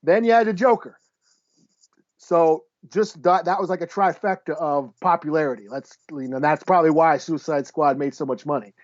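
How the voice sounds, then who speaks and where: A man co-hosting a podcast in casual, sweary chat, halting as he thinks aloud. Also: on the high side, no echo, lo-fi sound. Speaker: podcast co-host.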